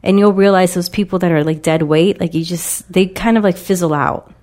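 A woman with a lowish voice talks in a loose, rambling way, full of fillers. She is getting over a cold and sniffles now and then during the relaxed chat. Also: a little fast. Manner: rambling, many fillers like "like" and "you know". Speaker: podcast co-host. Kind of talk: casual dating-advice chat.

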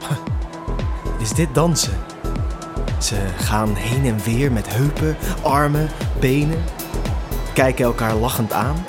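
Male audio drama narrator, immersive and dramatic, mid-pitched and unhurried, with prominent music underneath. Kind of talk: scripted second-person story narration.

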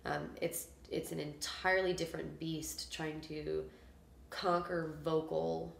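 Low-voiced woman, echoey room. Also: a little slow, gravelly, slight background noise. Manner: chatty, animated, filler-heavy storytelling. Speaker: musician guest. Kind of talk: casual podcast interview.

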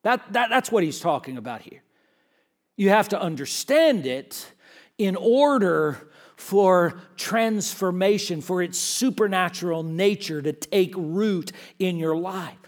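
A man preaching a sermon as a guest speaker. He stresses his points, repeats phrases and restarts them, and moves between quiet, slow stretches and loud, quick ones. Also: sits high, expressive.

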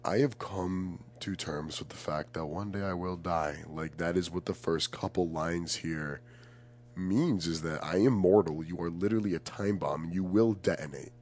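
A man's voice: low voice, fairly even intonation, moderate pace, darkish tone. A young man chatting casually and reflectively as a podcast guest, earnest and emphatic, often searching for the right words.

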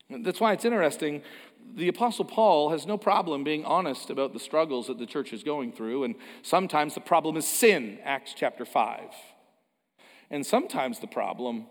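A Canadian-born male pastor preaches in a loose, conversational way, stopping for self-deprecating jokes and starting lines over. His voice sits a little high for a man. The sound carries a long reverb from a large church. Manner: conversational, self-deprecating asides, frequent restarts. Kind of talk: sermon.

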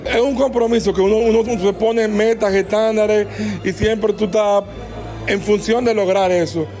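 A man being interviewed on a sports radio show, explaining his media team's plans in a relaxed way and often repeating words. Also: high-pitched, normal speed, faint music behind.

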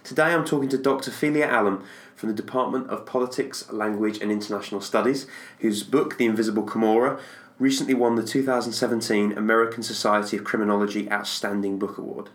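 Polite podcast host in echoey room. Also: male voice, fairly low pitch, fairly even intonation, quick pace, few pauses. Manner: polite, encouraging. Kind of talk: podcast interview hosting.

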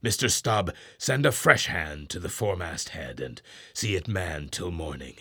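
A man voicing a grim, imperious old sea captain who swings from booming orders to hushed brooding. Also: animated, a little slow, breathy edge, dry recording, clean studio sound, full character voice. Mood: commanding. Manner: stern, booming commands then hushed brooding.

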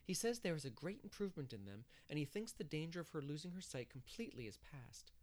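A man voicing an earnest young woman schoolteacher in a storybook, eager, proper and gently firm. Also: a little high, sing-song, fast talker, pitched above the performer's own voice. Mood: bright.

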